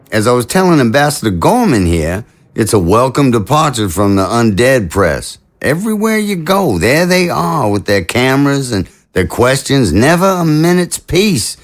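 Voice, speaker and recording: An actor playing an affable, chatty president in an audio drama, with a genial, hesitant, chuckling manner. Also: male voice, medium pitch, very animated, normal speed, natural voice. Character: affable, chatty politician president on a state visit.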